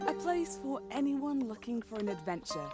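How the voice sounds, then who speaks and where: Wry fantasy innkeeper, animated storyteller over music. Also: female voice, a little high, moderate pace. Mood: storytelling. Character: female tavern keeper in a fantasy world, wry and chatty. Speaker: game narrator. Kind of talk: scripted storybook narration.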